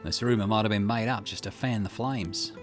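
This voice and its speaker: A man reading video game dialogue aloud in an expressive way, with the occasional small stumble. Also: on the low side, a little fast, soft background music. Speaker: gaming channel host reading a visual novel aloud.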